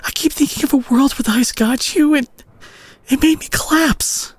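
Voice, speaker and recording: A man playing a protective, guilt-ridden brawler superhero in an intimate audio drama, speaking earnestly with a husky, breathy voice. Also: very high voice, dry recording. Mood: tearful, pleading. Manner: earnest, emotional, halting delivery with sighs. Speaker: audio roleplay voice actor.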